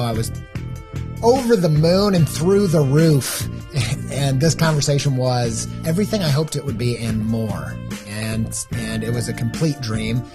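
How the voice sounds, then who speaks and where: A middle-aged man hosting a creative podcast, talking eagerly with loose, rambling restarts. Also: mid-range voice. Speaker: podcast host. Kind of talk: podcast intro and interview.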